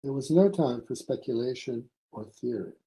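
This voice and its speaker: A man presents a scholarly paper in a formal, read-aloud style. His voice sits at a middle pitch with a very dark, soft-edged tone. He phrases deliberately, setting out each segment in a measured way. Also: expressive, gravelly, low-quality recording. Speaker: academic presenting a conference paper. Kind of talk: formal scholarly lecture read from a prepared text.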